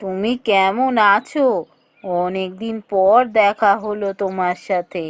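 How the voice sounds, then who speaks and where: A woman voicing a calm, agreeable middle-aged village mother in a cartoon fairy tale, speaking in a slow, measured way. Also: medium pitch, dry recording, pitched below the performer's own voice, lightly acted. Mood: weary.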